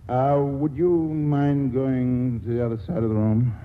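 An actor playing a glib, self-satisfied writer with urbane, dry wit in a 1940s radio play. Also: male voice, medium pitch, flat delivery, unhurried, natural voice. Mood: flustered. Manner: glib, urbane, drily witty. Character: suave, self-satisfied, mercenary male writer and charmer. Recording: noisy, tinny old radio broadcast.

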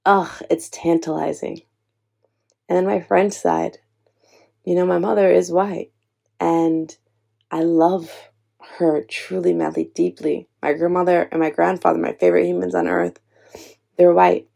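A young woman with a low, gravelly edge to her voice, speaking in an earnest, encouraging way. Her tone is mellow and relaxed, with a slightly lower pitch than most women. She talks personally and directly to the listener, like a host sharing her own story. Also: unhurried, long pauses. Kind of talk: heartfelt personal talk to camera.

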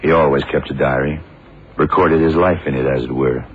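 An actor in a 1970s radio drama playing a shrewd, brooding detective who talks in deliberate, clipped phrases. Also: male voice, a bass voice, steady tone, slightly rough. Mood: quietly intent. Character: shrewd, brooding male police detective in charge. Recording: muffled old radio broadcast, background din.

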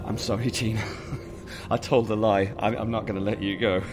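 An actor playing a calm, sadistic male killer who taunts his captive in a soft, mocking, coldly polite way. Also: lowish voice, normal speed, background noise, close to an everyday voice. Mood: coldly gleeful. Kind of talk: audio drama acting.

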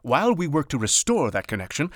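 A man's voice: fairly high pitch, huge pitch swings, fast talker, no echo, studio quality. A man playing a formal network announcer in an old-time radio drama, presenting programs with polished ceremony. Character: smooth-talking 1930s radio network continuity announcer. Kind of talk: vintage radio announcing, acted.

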